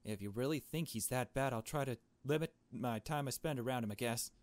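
A man voicing a shy, unsure, soft-hearted young woman in a visual novel, with a hesitant, questioning manner. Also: medium pitch, brisk, no echo, lightly acted. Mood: reluctant.

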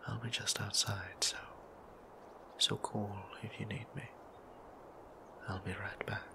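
A man voicing a gentle, easily flustered male vampire priest who talks in a soft whisper and stammers when nervous, for an ASMR audio roleplay. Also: lowish voice, flat delivery, measured pace, vocal fry, heavy background noise.

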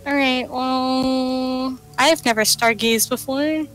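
High-voiced, unhurried woman playing games. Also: steady tone, a bit bright. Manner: playful, teasing, foul-mouthed. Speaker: gaming channel player and host. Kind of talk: casual co-op game banter. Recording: game music behind the voice. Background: video game music.

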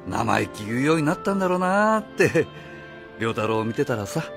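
A man acting in a Japanese radio drama as a kindly, easygoing middle-aged father who talks in a casual, familiar, gently teasing way. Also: medium pitch, very animated, a little fast, vocal fry, soft background music. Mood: wistful.

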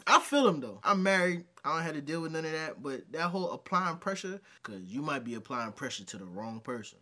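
A man's voice: fairly high pitch, big pitch swings, a little fast, pushed and bright. A man in his thirties giving casual, emphatic reaction commentary, repeating phrases for emphasis. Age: 30s. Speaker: reaction video host. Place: small dim indoor room.

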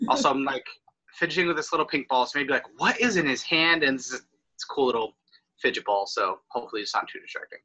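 A young man, a university scholar, talking on a video call in a relaxed, affable way, often adding "right?". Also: mid-range voice, fast, breathy edge, low-quality recording. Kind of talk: conversational video-call interview. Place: home room with framed pictures. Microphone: laptop webcam on a video call.

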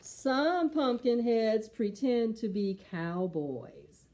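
Woman, middle-aged, storytime reader, higher-pitched, unhurried, sing-song delivery for little kids. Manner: expressive, slow, sing-song delivery for young children. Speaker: library storytime host for preschoolers. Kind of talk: children's storytime, singing and reading a picture book aloud.

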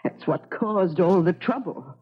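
Husky, fussy, doting old maidservant. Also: female voice, fairly low pitch, expressive, a little slow. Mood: fretful, explaining. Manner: fretful, coaxing, gushing endearments. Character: fussy, doting older colonial maidservant, small and motherly. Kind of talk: 1970s radio drama acting. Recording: dull 1970s radio recording.

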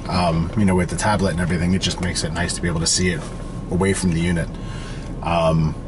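A man in his thirties chatting casually and haltingly as an HVAC technician hosting a vlog. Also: low-pitched, little pitch movement, background noise. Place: inside a work van and outdoors in a yard.

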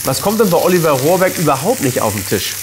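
A man's voice: fairly high pitch, very animated, noisy background. A man hosting a cooking show in a studio kitchen, chatting in an upbeat, joking way on a lapel mic. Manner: upbeat, joking, quick to chime in. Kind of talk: casual chat while cooking.

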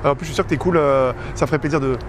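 A man's voice: a little high, steady tone, racing, crowd noise. A man, chatting informally and explaining things like a dating coach during a casual debrief outdoors. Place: outdoors on a city street. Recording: street noise with traffic.

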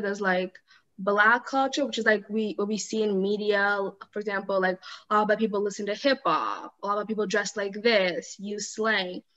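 A woman's voice: mid-range voice, a bit bright. A teenage girl on a video call whose webcam audio comes through dull and lo-fi. She talks in a middle voice, hesitating over "um" and "like" as she thinks. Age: teens. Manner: hesitant, "um" and "like" fillers. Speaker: club co-leader, panelist. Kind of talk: casual panel discussion.

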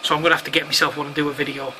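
A man's voice: on the high side, level tone, very fast. A man chatting casually over two-way radio in matey slang and radio jargon. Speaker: amateur radio operator filming for online videos. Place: indoors at home, at a radio set. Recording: clearly noisy room recording of radio chat.

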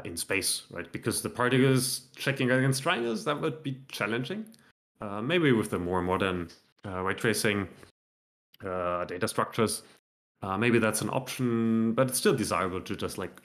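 A man in his thirties gives a relaxed talk to a developer meetup over a video call from home, stopping often to restart sentences and poke fun at his own work. His voice sits low with a gravelly, creaky edge. He comes across easygoing and unpolished, thinking aloud while he runs a live demo. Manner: relaxed, self-deprecating, many fillers and restarts. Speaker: software developer presenting a talk.

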